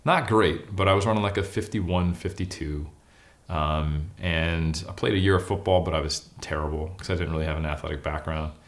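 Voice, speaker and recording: Deep-voiced American man, candid interview guest. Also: fairly quick. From California, United States. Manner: candid, self-deprecating. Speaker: interview guest, bodybuilder and academic. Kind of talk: casual interview, personal storytelling.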